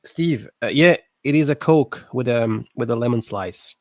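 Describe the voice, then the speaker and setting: Man, French accent, from Alsace, livestream host, stop-start speech, mid pitch, dry. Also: expressive, no echo. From Alsace, France. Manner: halting, many restarts and repeated words. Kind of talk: casual chat.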